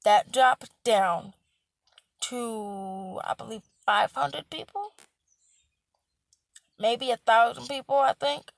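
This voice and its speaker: A young woman speaks in a high voice that is hoarse and cracking. She rants bluntly and emphatically, repeating points and swearing as she lectures her viewers. The sound is dry and close, with no room around her. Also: takes it slowly, long pauses, no echo. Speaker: healthcare worker. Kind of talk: casual vlog rant.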